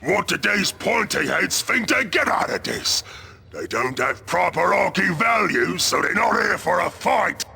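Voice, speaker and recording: A man playing a gruff, cocky ork warboss in a video game, with a gravelly Cockney voice and blunt, broken grammar. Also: sits high, big pitch swings, measured pace, a little hiss or hum, heavily acted. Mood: scornful. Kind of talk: video game cutscene acting. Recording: loud music under the voice. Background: loud music.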